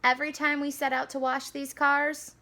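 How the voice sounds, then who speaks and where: A woman's voice: high voice, flat delivery, moderate pace, pushed and bright, pitched above the performer's own voice. A woman voicing an exclaiming neighbourhood man in a storybook, calling out emphatically. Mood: amused.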